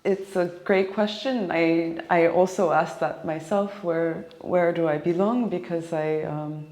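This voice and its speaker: A young woman from Japan and Hawaii, talking casually and reflectively in an interview, pausing often as she thinks. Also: lowish voice, fairly even intonation, a little mellow. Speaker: classical cellist being interviewed. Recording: echoey, reverberant room.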